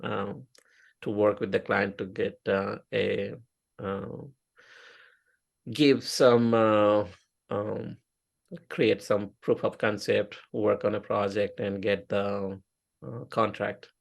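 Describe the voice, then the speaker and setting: Middle-aged Indian-accented man, Oriya-speaking, gravelly low voice, hesitant interview guest. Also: flat delivery. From India (Odisha). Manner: halting, many fillers and restarts. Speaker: interview guest, software engineer. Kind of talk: casual interview talk.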